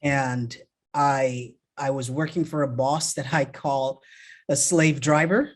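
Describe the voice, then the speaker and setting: A man in his thirties from Nigeria, a podcast guest telling his life story in a relaxed, friendly way. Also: mid-range voice, slow pace. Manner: relaxed and friendly, laughs easily. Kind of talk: casual storytelling.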